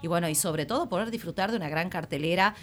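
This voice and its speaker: A woman's voice: fairly low pitch, quick pace. A woman with an Argentine accent, a municipal press director, speaking as a radio guest in an enthusiastic, fluent, promotional way. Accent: Argentine Spanish.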